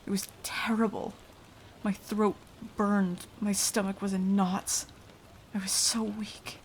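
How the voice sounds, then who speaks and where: A woman playing a haunted, remorseful vampire in an ASMR roleplay, confiding in a hushed, halting, dramatic voice that often drops to a whisper. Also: mid-range voice. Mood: pained, bitter. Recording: light rain noise behind voice. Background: rain.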